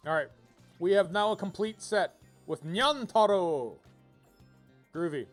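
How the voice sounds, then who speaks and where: A man's voice: high voice, lively intonation, unhurried. Rambling male game streamer, music behind. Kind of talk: casual live game commentary, thinking aloud.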